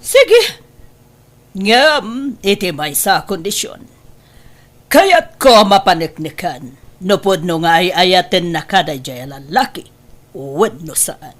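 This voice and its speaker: A woman's voice: on the low side, big pitch swings, takes it slowly, exaggerated voice. A middle-aged woman with an Ilocano accent playing a scheming, disapproving mother in an audio drama. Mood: stern, scheming. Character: scheming, wealthy mother, disapproving of daughter's boyfriend.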